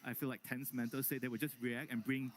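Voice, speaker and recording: A man's voice: medium pitch, little pitch movement, fast, slight background noise. A man giving casual strategic opinions as an analyst on an esports panel.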